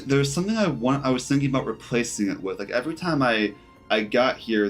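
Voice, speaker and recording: Low-voiced man rambling through a game stream. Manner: rambling, thinking aloud. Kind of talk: casual live gameplay commentary. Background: game music.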